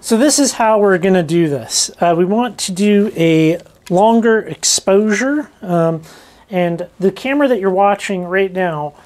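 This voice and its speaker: A woman's voice: mid-range voice, unhurried, darkish tone. A woman vlogger giving a casual hands-on demonstration, informally thinking aloud as she goes. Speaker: rockhounding vlogger.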